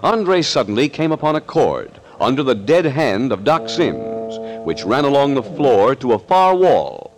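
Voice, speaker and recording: A man delivers scripted narration in the manner of 1940s radio thrillers. His voice is medium in pitch and highly animated, with an ominous, declamatory delivery. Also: unhurried, hardly any pauses.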